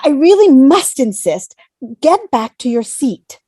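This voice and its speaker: A woman's voice: high-pitched, big pitch swings, unhurried, warm tone, dry recording, clean studio sound. A woman playing an officious bus hostess in an amateur table read, polite but firm with passengers.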